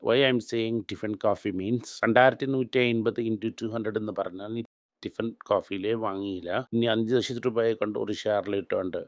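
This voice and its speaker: A man's voice: fairly low pitch, steady tone, normal speed, no room sound. A man giving unscripted investment advice in a blunt, instructive way, often switching into English phrases and asking rhetorical questions. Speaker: financial expert answering audience questions.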